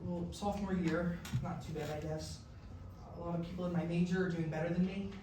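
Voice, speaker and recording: Man playing an anxious male college student, musical theatre, self-doubting, high voice, very echoey room. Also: little pitch movement, a little fast, mellow, slight background noise, natural voice. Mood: hesitant, withdrawn. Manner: hesitant, self-deprecating. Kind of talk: musical theatre staged reading. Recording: echoey room from far off, piano accompaniment.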